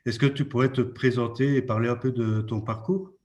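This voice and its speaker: A man's voice: mid-range voice, steady tone, brisk, a little mellow, highs cut off. A man hosting his channel, asking a guest questions politely with some fillers. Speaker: interviewer, channel host. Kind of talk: interview questions.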